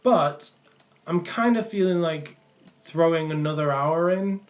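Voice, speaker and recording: A man's voice: on the high side, measured pace. A young man from the Manchester area with a Mancunian accent that comes and goes, chatting loosely on a live stream with many ums and restarts. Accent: Mancunian, partly worn off. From England, Manchester area. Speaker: livestream host answering chat. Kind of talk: casual live stream chat. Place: small room indoors.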